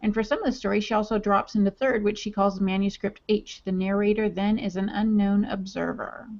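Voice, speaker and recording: Mulher animada lendo comentários. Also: female voice, medium pitch, steady tone, fairly quick, darkish tone. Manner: upbeat, reads comments aloud. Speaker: podcast co-host reading chat comments. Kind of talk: casual podcast chat. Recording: dull lo-fi audio.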